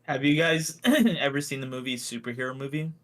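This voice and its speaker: A bright, forward voice with a lively, swinging intonation. The speaker chats casually as part of a group. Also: medium pitch, fairly quick.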